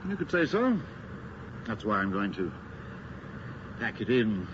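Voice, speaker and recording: A middle-aged policeman, worn out yet determined, in an old radio horror play. He is terse and intense, with a medium pitch that moves dramatically. The sound is muffled, with noise behind him. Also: sing-song, a little slow. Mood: weary, subdued. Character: weary, determined policeman tormented by an evil force.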